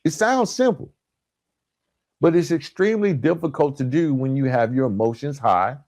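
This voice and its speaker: A man delivering a motivational self-help podcast talk as a coach, with emphatic, deliberate phrasing and frequent check-in tag questions. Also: fairly high pitch, lively intonation, darkish tone, no room sound, clean studio sound. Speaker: podcast host and relationship coach.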